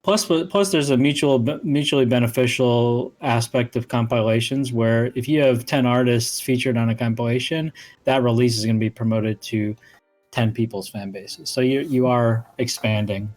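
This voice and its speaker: A man speaking casually as a host in a relaxed panel conversation. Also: mid-range voice, normal speed.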